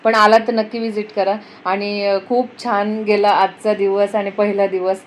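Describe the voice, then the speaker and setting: A woman's voice: medium pitch, level tone, moderate pace, a little hiss or hum. A woman from India chatting casually as a travel vlogger, telling stories and mixing in English words. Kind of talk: casual vlog chat.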